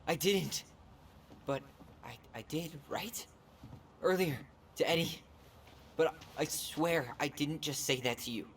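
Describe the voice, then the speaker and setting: A man's voice: a little high, takes it slowly, long pauses, a bit bright, a little hiss or hum. An actor playing an earnest, insistent young man haunted by the past, in a naturalistic video game cutscene. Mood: shaken, pressing. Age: young adult.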